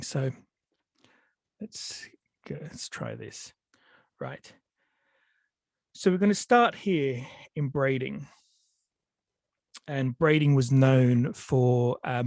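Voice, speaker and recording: A man's voice: mid-range voice, darkish tone, no room sound. A man with a head cold, giving a storytelling talk over a video call, with lots of fillers and restarts. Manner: hesitant, many fillers and restarts. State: sniffly with a cold.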